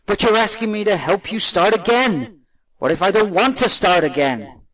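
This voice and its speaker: A man's voice: sits high, animated, normal speed, fairly bright tone, low-quality recording. A man acting an idealistic, headstrong robot adventurer with an earnest manner in an audio drama. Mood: earnest, defiant.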